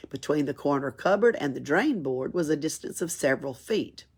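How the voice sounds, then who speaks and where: A woman's voice: deep voice, moderate pace, breathy edge. A woman reads a children's story aloud expressively, as a library storyteller. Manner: expressive, chuckles and adds asides. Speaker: library read-aloud reader.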